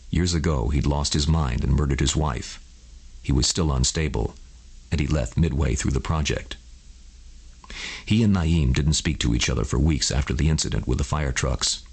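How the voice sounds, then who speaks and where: A man tells a scripted bedtime story for adults. His voice sits extremely low in pitch and has a husky, rough-edged texture. He delivers the prose as a narrator reading to listeners. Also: a little mellow.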